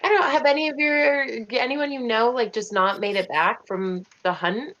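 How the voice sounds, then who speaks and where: A woman's voice: a little high, moderate pace, fairly bright tone. A woman playing in an online tabletop game, hesitant and full of fillers as she fishes for information, over slightly muffled online audio. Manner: hesitant, fishing for clues with fillers. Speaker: player. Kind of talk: casual tabletop game play. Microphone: video call mic.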